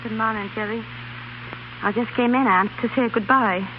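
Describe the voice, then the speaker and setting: A woman's voice: fairly high pitch, steady tone, moderate pace, lightly acted. An actress playing an emotional, frank young woman in a 1930s radio drama. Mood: subdued, sorrowful. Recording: noisy, dull 1930s radio broadcast.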